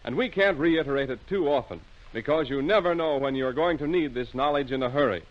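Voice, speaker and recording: A man announcing on old-time radio in a formal, measured, emphatic sponsor style. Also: mid-range voice. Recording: dull lo-fi old radio recording.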